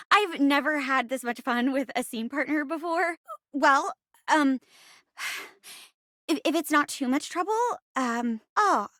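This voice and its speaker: High, animated, flirty theatre chair. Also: female voice, dry recording, clean studio sound, full character voice. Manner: bubbly, theatrical, stammering when flustered. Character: living chair, eager theatre actress, flustered and flirty. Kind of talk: video game character voice acting.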